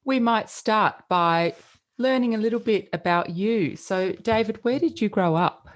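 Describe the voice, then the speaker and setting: A middle-aged woman, hosting a wellbeing podcast in a measured, deliberate way. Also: medium pitch, dry recording. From Australia. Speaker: podcast host. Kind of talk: scripted intro then interview questions.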